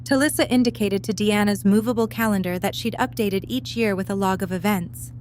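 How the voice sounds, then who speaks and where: A woman's voice: mid-range voice, fairly quick, a little mellow. A woman narrating a scripted story as the narrator of a fan audio drama. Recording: light noise and hum.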